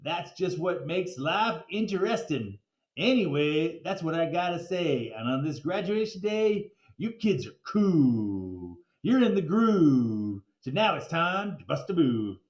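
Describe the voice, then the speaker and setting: A man's voice: fairly high pitch, very animated, unhurried. A man voicing a flashy, boastful rapper showman from a children's storybook, upbeat and playfully preachy, rhyming in slang. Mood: playful rapping. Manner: rhyming, rapping delivery with slang. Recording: roomy, echoey sound.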